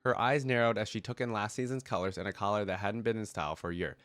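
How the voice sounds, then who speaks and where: A man congested with a cold, reading stories aloud and reacting to them casually, thinking out loud to his viewers. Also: on the low side, fairly even intonation, fast talker, no echo. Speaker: reactor reading game lore aloud and commenting. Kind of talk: casual reaction commentary mixed with story reading.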